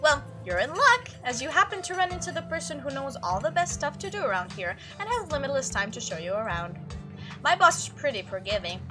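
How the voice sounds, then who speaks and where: Woman voicing a high, playful, chatty small-town girl. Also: sing-song, brisk, over music. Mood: joking. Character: chatty, teasing young small-town woman, joking and self-deprecating. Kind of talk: visual novel character read aloud.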